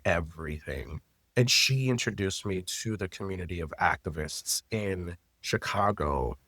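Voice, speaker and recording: Male guest, late 30s, native Haitian Creole speaker. Very low pitch, dry sound, expressive and conversational. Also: no room sound. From Florida, United States. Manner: expressive, personal, loose phrasing with frequent fillers. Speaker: guest, intimacy coordinator and teacher. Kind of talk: conversational interview storytelling.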